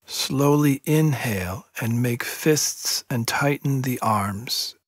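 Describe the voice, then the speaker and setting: Slow, breathy male meditation guide. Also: mid-range voice, dry recording. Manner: slow, hushed, soothing, measured instruction with long pauses. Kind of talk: guided yoga nidra sleep meditation.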